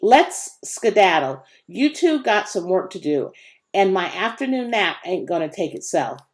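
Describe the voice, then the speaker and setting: A woman's voice: mid-range voice, big pitch swings, unhurried. A woman voicing a laid-back, folksy, wisecracking boy in a children's storybook reading. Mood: easygoing.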